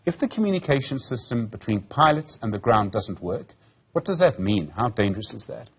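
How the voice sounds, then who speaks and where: Husky male news anchor. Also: lowish voice, very animated, brisk, muffled recording. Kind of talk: live television news presenting and interviewing. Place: television news studio.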